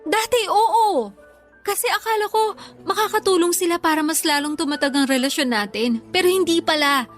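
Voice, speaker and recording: Filipina girlfriend in a radio drama, really high voice, earnest, disillusioned, confronting. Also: normal speed. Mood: hurt, pleading. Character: hurt, disillusioned young girlfriend, a content creator. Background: music.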